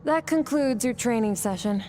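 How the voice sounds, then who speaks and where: A woman's voice: fairly high pitch, animated, moderate pace, warm tone, slight background noise, natural voice. An actress playing a cool, blunt female warrior mentor in a video game, detached and matter-of-fact.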